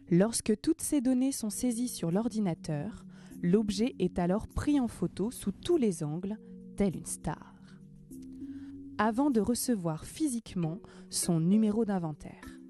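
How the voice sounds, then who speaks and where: A woman reading scripted documentary narration in a measured, explanatory way. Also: medium pitch, dark tone.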